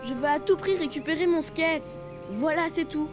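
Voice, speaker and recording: A woman's voice: high voice, normal speed, lightly acted. A woman voicing a stubborn, scheming young boy elephant in a French children's cartoon. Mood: sulky, stubborn. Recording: music behind the voice.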